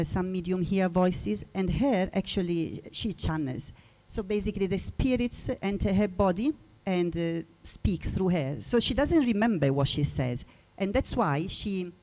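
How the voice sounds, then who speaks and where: A woman in her forties from Italy with an Italian accent, speaking at a book launch in a chatty, personal way with frequent restarts. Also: fairly low pitch. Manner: warm, chatty, with frequent restarts and little laughs. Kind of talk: personal speech to an audience.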